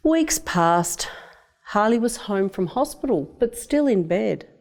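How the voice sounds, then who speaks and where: A woman's voice: mid-range voice, expressive, slow. A woman with an Australian accent reading a scripted picture-book story aloud for young children. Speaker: storybook reader.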